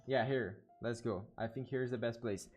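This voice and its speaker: A man, a native Portuguese speaker with an accent, chatting casually as a game streamer in halting, repetitive phrases. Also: medium pitch, fairly even intonation, a little mellow, soft background music. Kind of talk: casual live stream chat.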